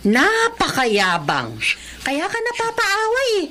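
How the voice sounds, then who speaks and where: Brief: middle-aged mother, lively swooping delivery, fond scolding, radio drama, slight background noise. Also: very high-pitched, takes it slowly, exaggerated voice. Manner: motherly, nagging yet affectionate.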